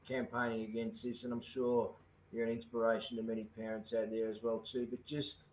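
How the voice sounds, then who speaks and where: An Australian man hosting an online forum, halting and full of fillers. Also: mid-range voice, flat delivery, darkish tone, roomy sound, a little hiss or hum, low-quality recording. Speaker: host.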